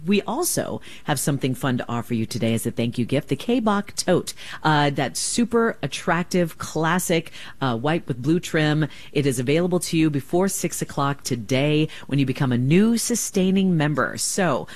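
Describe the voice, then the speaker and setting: A woman's voice: sits low, animated, normal speed, hardly any pauses, darkish tone, dry recording. A woman radio host making a chatty, enthusiastic pledge-drive appeal from a studio microphone.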